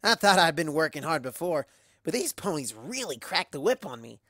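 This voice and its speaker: Someone playing a folksy, animated female farm pony. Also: mid-range voice, normal speed, dry recording, clean studio sound, pitched above the performer's own voice, exaggerated voice. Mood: warm recounting. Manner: folksy, plainspoken storytelling. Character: proud, hardworking female country farm pony, competitive.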